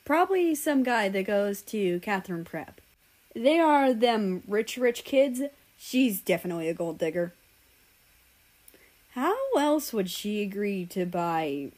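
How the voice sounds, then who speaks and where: A woman voicing brash, nosy teenage friends in a story, blunt, excitable and gossipy. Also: mid-range voice, slow pace. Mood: catty, gossiping.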